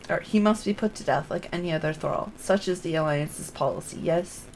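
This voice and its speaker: Blunt sharp-tongued young woman from a fantasy game, skeptical, read aloud plainly by a woman, a bit of fry. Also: on the low side, normal speed, a little hiss or hum, natural voice, poor audio. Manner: reads dialogue aloud in a plain voice.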